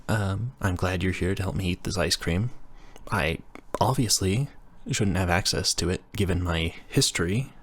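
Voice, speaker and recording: Deep-voiced nerdy, stammering young guy, acted by a man. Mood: sheepish, fond. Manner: stammering, rambling, self-deprecating. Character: nerdy, flustered young male engineering student roommate. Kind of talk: intimate roleplay audio, casual scripted chat.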